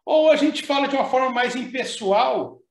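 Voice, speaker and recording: A man co-hosting a talk, speaking in a measured way with hesitations and frequent tag questions. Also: extremely high pitch, lively intonation, gravelly, roomy sound. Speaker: co-host. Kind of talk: casual discussion.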